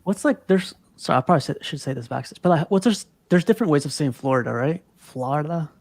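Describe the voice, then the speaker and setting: Gravelly man hosting a livestream. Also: on the high side, lively intonation, fast, darkish tone, slight background noise. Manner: rambling, laughs often. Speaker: podcast host. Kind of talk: casual livestream chat.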